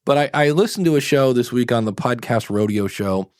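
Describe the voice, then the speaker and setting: A man's voice: medium pitch, lively intonation, fairly quick, a little mellow, no echo, studio quality. A man hosting a podcast, giving his opinions in a casual, rambling way. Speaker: podcast host.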